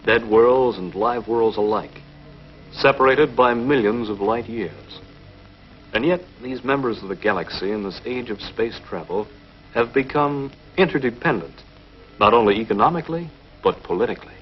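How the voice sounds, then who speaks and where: A man's voice: medium pitch, moderate pace. A man giving dramatic, portentous scripted narration in 1950s television style. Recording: dull 1950s TV film soundtrack. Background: music.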